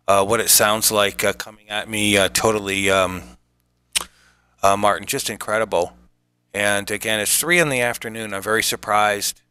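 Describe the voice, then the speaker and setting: A man speaking as an amateur radio operator in a casual contact, with measured, hesitant phrasing. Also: fairly low pitch, bright, forceful tone, clean tone, dry recording.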